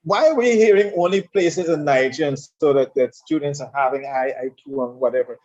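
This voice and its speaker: Emphatic Caribbean man, fairly high voice. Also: brisk, a little mellow. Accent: Caribbean English. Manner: emphatic and repetitive, lecturing. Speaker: guest speaker on leadership. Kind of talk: conversational discussion. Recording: dull lo-fi sound.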